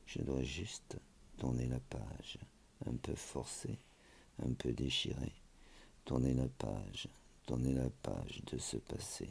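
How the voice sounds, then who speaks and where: Deep, gravelly man reciting poetry. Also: slow pace, darkish tone, a little hiss or hum. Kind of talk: poetry recitation, spoken word.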